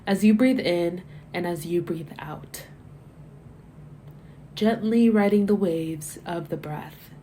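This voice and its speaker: A woman guiding a body scan meditation as scripted narration, giving gentle, measured, soothing instructions that soften at the ends of phrases. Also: on the low side, a little hiss or hum. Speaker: meditation guide.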